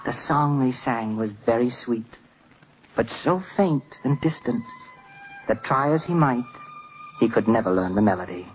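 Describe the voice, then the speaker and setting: A man's voice: medium pitch, animated, moderate pace, slight background noise. A man narrating a scripted story in 1950s radio style, theatrical and lyrical with dramatic emphasis. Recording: dull old radio recording. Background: prominent music.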